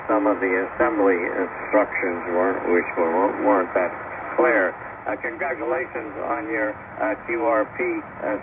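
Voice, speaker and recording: A man in his eighties chatting casually and haltingly as an amateur radio operator, heard over a shortwave radio link. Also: mid-range voice, gravelly. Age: 80s. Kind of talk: casual ham radio chat. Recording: noisy, static-laden ham radio reception. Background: radio static and hiss.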